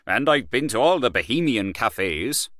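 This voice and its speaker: A man putting on a loud, brash worldly gent. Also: mid-range voice, very animated, a little slow, no echo, clean studio sound, voice pushed up. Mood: boastful. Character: boastful worldly Irish male journalist, loud.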